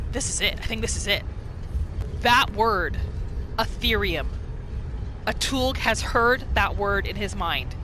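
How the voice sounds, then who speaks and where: A woman hosting a gaming roleplay series, telling the story in short phrases with dramatic pauses. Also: medium pitch, sharp tone, slight background noise. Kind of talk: storytelling gameplay narration.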